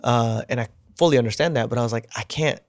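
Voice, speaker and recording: A man whose first language is Indonesian, an actor chatting as a guest, animated and acting out quoted remarks with lots of "like" fillers. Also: medium pitch, quick pace, dry recording, studio quality. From United States. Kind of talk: casual interview chat.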